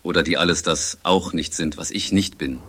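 Guy acting ominous brooding man, very deep, declamatory, radio play, noisy ambience. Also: fairly even intonation, normal speed, lightly acted. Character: menacing, brooding self-declared dangerous man. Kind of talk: satirical radio play monologue.

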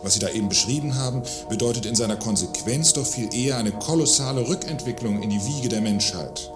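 A man's voice: medium pitch, brisk, music in the background, natural voice. A man playing a pompous, sceptical intellectual debater in a satirical radio play, formal, wordy and condescending. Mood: indignant.

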